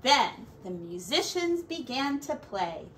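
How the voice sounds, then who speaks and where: A middle-aged woman reading a picture book aloud to children like a teacher, with deliberate, drawn-out words and little asides. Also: fairly high pitch, sing-song, a bit bright, slight background noise. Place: small furnished room at home.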